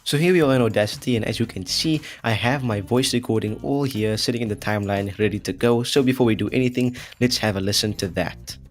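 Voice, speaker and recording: A man's voice: fairly low pitch, fast, hardly any pauses, soft background music. A man hosting an audio effects tutorial in a casual, chatty, jokey way, talking informally to viewers. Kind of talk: casual scripted tutorial narration.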